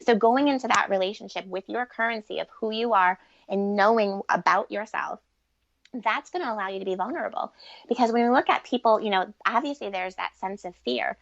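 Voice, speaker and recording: Female relationship expert, mid-pitched with noticeable vocal fry, earnest and fluent in interview; dry but dull sound. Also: fairly quick, no echo. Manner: earnest, fluent, long explanations. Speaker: guest relationship expert and author. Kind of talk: conversational explanatory interview answers.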